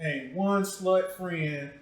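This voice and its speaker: A man's voice: sits high, drawn-out words. An American man interviewing as a show host in a casual, joking way, with teasing, provocative, slangy talk. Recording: echoey, reverberant room.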